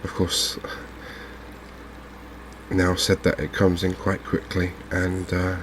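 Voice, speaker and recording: A man speaking softly and unhurriedly in an ASMR style, murmuring his way through video game commentary as a streamer. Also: sits low, completely flat delivery, slight background noise. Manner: soft-spoken, unhurried, murmuring to himself.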